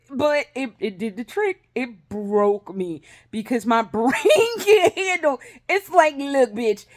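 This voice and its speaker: A woman vlogging in a casual, rambling way, swearing freely, laughing often and restarting her sentences. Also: high voice. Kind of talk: casual rambling vlog chat.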